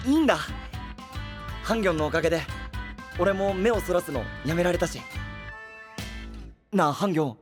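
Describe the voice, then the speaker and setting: A male voice actor playing an open-hearted, energetic young man with a casual, boyish manner in an anime-style audio drama. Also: high-pitched, brisk, long pauses, long reverb tail, over music. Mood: friendly.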